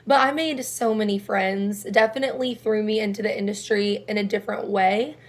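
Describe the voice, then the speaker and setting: A young woman singer with a low, raspy voice, chatting casually and laughing often as an interview guest. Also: steady tone, fairly quick. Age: young adult.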